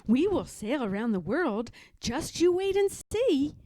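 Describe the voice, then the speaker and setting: A woman plays a young storybook bear cub, a dreamy little boy. His voice is high and lilting, earnest and eager, with big swoops in pitch. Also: sing-song, measured pace, mellow, dry recording. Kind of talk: children's storybook character voice.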